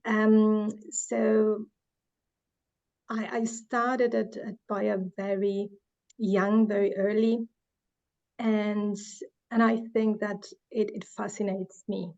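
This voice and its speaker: A woman's voice: mid-range voice, level tone, slow pace, darkish tone, dull audio. A middle-aged woman from Uruguay, speaking earnestly in a panel talk about her work in marketing, with restarts and fillers. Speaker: marketing manager.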